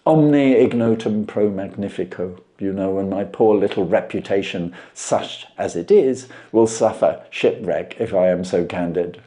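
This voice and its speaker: A man voicing a brilliant, self-assured gentleman detective from a storybook, precise, articulate and dryly amused. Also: low-pitched, measured pace, few pauses. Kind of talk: storybook character voice.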